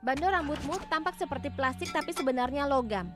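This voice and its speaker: A clever young cartoon sleuth, confident and high-voiced, acted by a woman. She speaks as a girl detective over clear background music. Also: fairly quick, lightly acted. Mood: confident explaining. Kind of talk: animated cartoon dub acting. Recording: music behind the voice.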